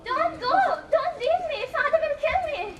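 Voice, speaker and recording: Woman playing a shrill, high-pitched, defiant young daughter. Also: animated, normal speed, dull audio. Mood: pleading. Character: defiant, scornful young daughter of a troubled household. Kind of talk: amateur stage play acting. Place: school stage performance, recorded from a distance. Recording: echoey, noisy hall, distant mic.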